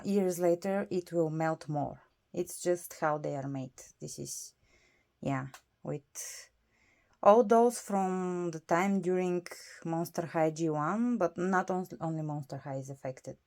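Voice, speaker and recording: A woman's voice: deep voice, vocal fry, mellow, dry recording. A woman whose first language is not English, explaining a hobby process in a casual, hesitant way with many fillers and self-corrections. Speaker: doll collector. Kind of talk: casual tutorial.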